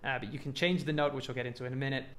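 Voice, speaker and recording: A man's voice: mid-range voice, fairly even intonation, very fast, fairly bright tone. A man hosting a music production tutorial in a casual, informal and enthusiastic way.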